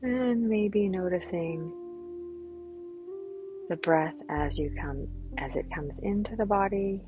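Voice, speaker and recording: A woman guiding a meditation in a gentle, soothing manner, with long pauses and a soft voice that turns breathy at times. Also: lowish voice. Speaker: yoga teacher and meditation guide. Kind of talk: guided meditation. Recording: dull, lo-fi sound. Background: clear background music.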